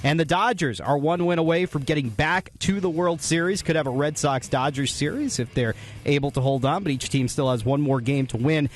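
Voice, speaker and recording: A man's voice: a little high, fairly quick. A man hosting a radio post-game show, delivering a sports recap.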